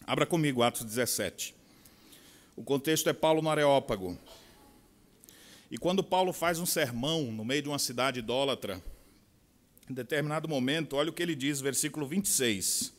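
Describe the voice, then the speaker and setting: A middle-aged man with a Bahian Portuguese accent, preaching a sermon with expressive swings from quiet asides to loud, slow emphasis. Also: medium pitch. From Brazil. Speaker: pastor. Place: large conference hall. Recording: long hall reverb.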